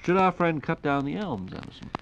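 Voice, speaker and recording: A man's voice: a little high, animated, fairly quick, highs cut off. A man hosting a 1940s radio program, speaking formally and courteously as an announcer. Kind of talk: 1940s radio announcing, reading listener questions. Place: radio studio. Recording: noisy 1940s radio recording.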